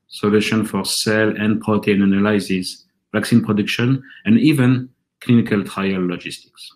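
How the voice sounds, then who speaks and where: A man with a low voice and a French accent presents at a conference. He speaks in an earnest, measured way and sometimes restarts a phrase. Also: rough recording. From France. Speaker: company presenter.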